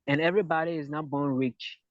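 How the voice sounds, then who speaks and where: A man's voice: fairly high pitch, steady tone, fast talker, no room sound. Hesitant Liberian man, muffled and dry. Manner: hesitant, repeats words and restarts. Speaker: interviewee. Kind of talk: interview answers. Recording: dull lo-fi dry sound.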